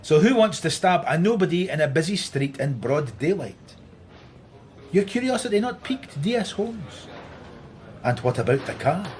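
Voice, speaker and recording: Radio drama: a needling, sardonic Scottish detective, very varied pitch, faint noise behind. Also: male voice, very animated, moderate pace, a little mellow. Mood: brisk, sardonic. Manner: dry, needling sarcasm.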